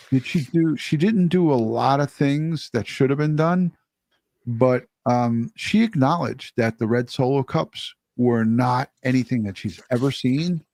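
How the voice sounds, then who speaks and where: A man's voice: mid-range voice, darkish tone, dry recording. A man co-hosting a livestream, reading out viewer messages and super chats in a casual, blunt way and swearing freely. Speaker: livestream co-host.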